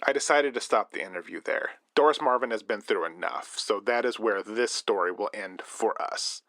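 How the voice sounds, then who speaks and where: A man's voice: on the low side, normal speed, a bit bright, no echo. A man playing an earnest radio reporter, narrating a scripted investigative story for a radio drama. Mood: somber, resolute. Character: earnest male radio reporter probing an eerie death, late 1980s. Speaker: reporter and radio show host.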